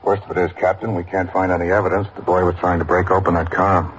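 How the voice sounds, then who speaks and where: A skeptical police detective, acted by a man in a noisy vintage radio drama. His voice is deep and quite flat, and he reports in clipped, matter-of-fact phrases. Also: quick pace, close to an everyday voice. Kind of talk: old-time radio drama acting.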